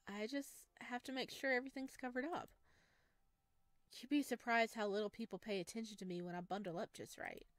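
Girl doing a shy soft forest creature boy for a visual novel game, naive and hesitant, dry sound. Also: on the high side, fairly even intonation, fairly quick, no echo, close to an everyday voice. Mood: casual, teasing. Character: gentle, sheepish, lonely male forest creature, naive about humans. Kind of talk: visual novel read-aloud.

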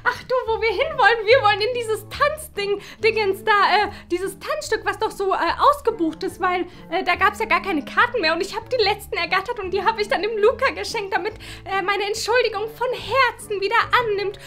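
A young woman playing a gushing, self-absorbed esoteric flatmate, effusive, theatrical and rambling. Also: extremely high pitch, brisk, few pauses. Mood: cheerful. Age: young adult. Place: furnished living room indoors.

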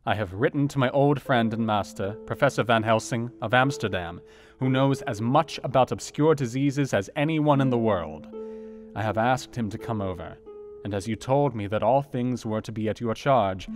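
An actor playing an earnest Victorian doctor reading his letter in a radio play, in a measured, formal manner. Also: lowish voice, close to an everyday voice. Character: earnest male Victorian doctor writing to a friend. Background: music with plucked guitar.

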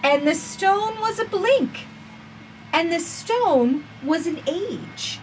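A woman in her forties reading a picture book aloud for children with expressive, sing-song phrasing, recorded outdoors on a porch. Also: very high-pitched, slow pace. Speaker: children's storytime reader. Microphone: camera a few feet away. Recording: distant camera mic, faint outdoor noise.

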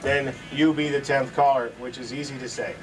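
A man's voice: mid-range voice, flat delivery, moderate pace, over music, low-quality recording. A middle-aged American man working as a radio DJ, talking in a casual, wry, easygoing patter. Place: small radio studio. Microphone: large studio mic on a boom arm.

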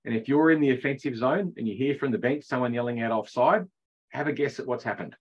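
A man's voice: mid-range voice, fast. A middle-aged man coaching ice hockey, explaining the rules in a chatty online session and laughing at his own jokes. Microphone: video call. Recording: dull lo-fi video call audio.

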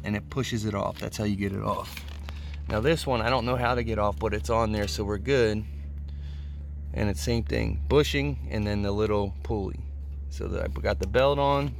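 A man's voice: mid-range voice, gravelly, a little hiss or hum. A man casually talking through a hands-on build, explaining it step by step in informal, colloquial words, recorded outdoors on a handheld phone. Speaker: pressure washing hobbyist showing a build. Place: outdoors on a concrete patio. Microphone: handheld phone behind the camera.

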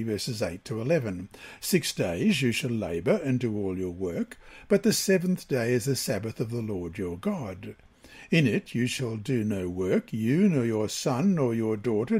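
A man from Australia reads a devotional study aloud. His voice sits at a middle pitch with a husky edge. He phrases deliberately, pausing between short groups of words as he works through the prepared text. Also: a little mellow. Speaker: Bible lesson reader. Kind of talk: scripted devotional reading.

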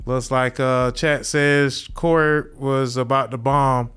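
A man's voice: medium pitch, steady tone, slow, a bit bright, clear, resonant tone, faint music behind. A man in his thirties hosting a podcast from a small home studio, laid-back and chuckling at his own jokes. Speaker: podcast host. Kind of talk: casual commentary. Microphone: studio mic with headphones.

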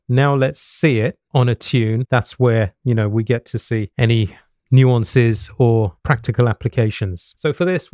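A man's voice: medium pitch, a little mellow, no echo. A middle-aged man gives an instructional music lesson, explaining and checking understanding with short tag questions, close to a studio mic. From England. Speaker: jazz piano teacher. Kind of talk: instructional lesson talk with piano demonstrations. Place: small home study with bookshelves. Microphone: large studio mic on boom arm, close. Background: piano playing.

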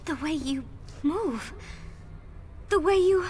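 A woman's voice: high voice, animated, darkish tone, a little hiss or hum. An actress playing a brash, tough-talking female villain sidekick in a video game cutscene. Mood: tender. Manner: brassy, tough-talking, drawn-out.

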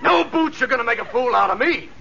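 An actor playing a smug, stubborn, excitable store salesman who gloats, in a 1940s radio sitcom. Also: very high voice, quick pace, creaky, exaggerated voice. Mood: frustrated, determined. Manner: animated, gloating. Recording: slightly noisy, muffled old radio recording.

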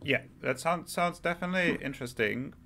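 Man interviewing in halting phrases. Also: on the high side, vocal fry, sharp tone, soft background music. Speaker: podcast host. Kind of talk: conversational interviewing.

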